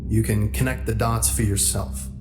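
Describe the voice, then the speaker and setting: A man playing a secretive doctor who gives a deliberate, matter-of-fact scripted lecture to recruits. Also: lowish voice, steady tone, close to an everyday voice. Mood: dry, secretive. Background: music.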